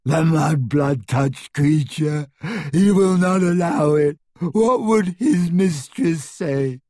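A man voicing a frightened old male elf servant in an audiobook, abject and devoted, speaking haltingly through sobs. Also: on the high side, expressive, mellow, no room sound, studio quality, pitched above the performer's own voice. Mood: repulsed, indignant. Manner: sobbing, halting, stammering, speaks of himself in third person. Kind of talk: audiobook character acting.

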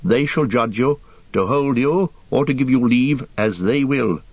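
A man playing a proud, stern elf guard captain in an audiobook. The voice lifts above the narrator's low tone and stays formal and unbending. The recording is dry and a little dull. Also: lively intonation, a little slow, breathy edge, no echo.

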